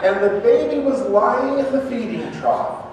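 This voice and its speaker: High-pitched man narrating in echoey church. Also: lively intonation, a little slow, a little mellow. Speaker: narrator of church scripture dramatization. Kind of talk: scripted narration to a congregation. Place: large church interior. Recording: echoey church hall from far off, faint noise.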